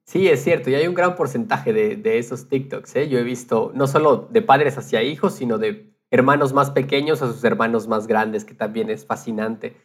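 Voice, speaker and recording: A man's voice: medium pitch, normal speed, few pauses. A man in casual podcast conversation, telling anecdotes with retold quotes and the odd English loanword.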